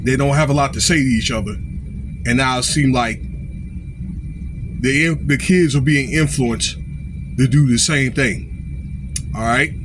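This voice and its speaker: A man giving informal, unscripted commentary in a halting way, often checking in with short tags like okay and all right. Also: medium pitch, noisy background. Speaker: online commentator.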